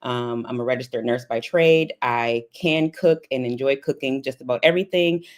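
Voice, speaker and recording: A woman in her forties from Buffalo, New York, introducing herself as a panel guest in a measured, matter-of-fact way. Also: rumbling low. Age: 40s. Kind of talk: casual panel chat.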